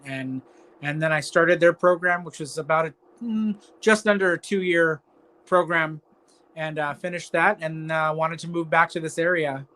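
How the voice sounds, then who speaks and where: A man's voice: a little high, a bit bright. A man in his thirties from California, a baker answering interview questions in an easygoing way. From California, USA. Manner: easygoing, talks in long stringing sentences. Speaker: guest baker.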